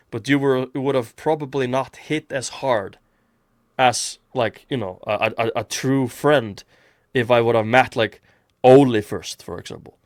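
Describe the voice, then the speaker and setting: A man's voice: medium pitch, no room sound, studio quality. A man from Iceland hosting a casual podcast chat, rambling with many restarts. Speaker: podcast host.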